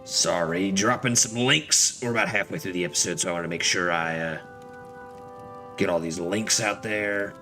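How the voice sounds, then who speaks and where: A man's voice: low-pitched, moderate pace, bright, forceful tone, faint music behind. A man giving casual, sweary, irreverent commentary while streaming a video game. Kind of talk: casual live gaming commentary.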